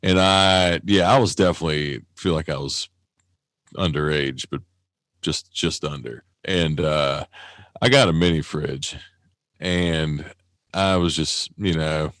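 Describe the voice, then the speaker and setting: Low-voiced man, 30s, podcast co-host; loose, profane, self-mocking, dry mic. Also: a little slow, fairly bright tone, no echo. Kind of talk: casual chat.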